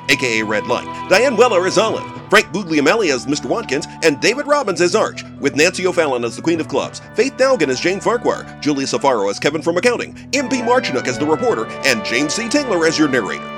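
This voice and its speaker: A man narrating scripted credits for an audio drama in an announcer-like manner. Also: a little high.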